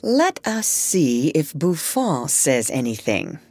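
A woman's voice: sits low, sing-song, slow pace, darkish tone, no room sound, studio quality, exaggerated voice. A woman voicing a mild, kindly male doctor in a storybook, bemused, courteous and a little hesitant. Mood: fascinated.

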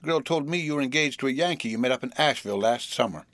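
A man's voice: medium pitch, expressive, quick pace, a bit bright, dry recording, voice pushed up. A man voicing an easygoing but persistent, lovelorn young man with a Southern US accent, blunt and plaintive, in a storybook reading. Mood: probing.

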